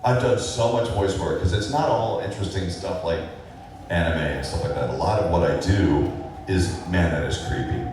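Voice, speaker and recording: Deep-voiced man rambling in an echoey hall. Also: normal speed, noisy background. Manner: rambling, laid-back, many fillers and chuckles. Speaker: voice actor guest on a convention panel. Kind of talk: casual panel Q&A answers. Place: large convention hall. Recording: echoey hall recorded from far off.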